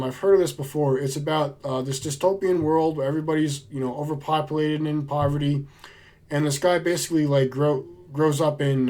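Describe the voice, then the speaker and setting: A man getting over a cold, with a slightly hoarse voice, chatting casually and with enthusiasm as he shows off his book haul. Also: on the high side, a little mellow. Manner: enthusiastic, chatty, laid-back. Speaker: book vlogger.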